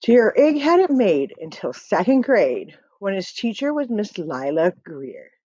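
Animated woman reading slowly aloud. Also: medium pitch, no echo. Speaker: read-aloud storyteller. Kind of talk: expressive children's picture-book reading. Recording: dull lo-fi webcam audio.

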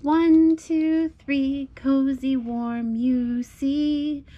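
High-pitched woman reading a bedtime storybook. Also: fairly even intonation, drawn-out words, muffled recording. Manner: encouraging, sing-song, simple repeated phrases. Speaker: parent reading a bedtime picture book to a small child. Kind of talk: child-directed storybook read-aloud. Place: indoors at home, on a blanket.

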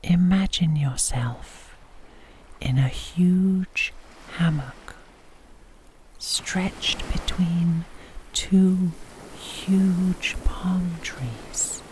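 Woman, soft whispery narration, extremely slow pace, lowish pitch, guided sleep meditation, long pauses. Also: low-quality recording.

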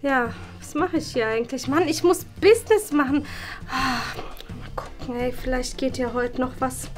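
A cheeky, brash schoolgirl in a web series, played by a young woman, with a high voice and a slangy, teasing way of talking. Music plays clearly behind her. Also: normal speed, close to an everyday voice. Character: cheeky schoolgirl Tessa, a scheming would-be businesswoman. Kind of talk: scripted web series acting.

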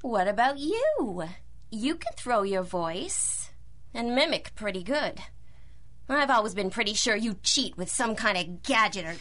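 A brash woman crook from a 1930s pulp radio serial. Her high, forceful voice leaps around with indignation. She talks back in slangy, defensive wisecracks. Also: sing-song, measured pace. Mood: defiant.

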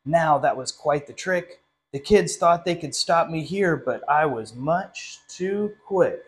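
Higher-voiced young man reading a storybook. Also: takes it slowly. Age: young adult. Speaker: literacy council director reading a story. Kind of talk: storytime picture-book reading. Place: small indoor classroom.

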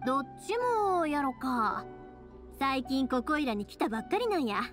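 Anime game daughter role: young, caring, Kansai accent, bright-spirited chatter, high and very varied pitch. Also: female voice, normal speed, over music. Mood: friendly. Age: young adult. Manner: expressive, friendly, chatty. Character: cheerful, caring young woman fireworks maker, devoted daughter.

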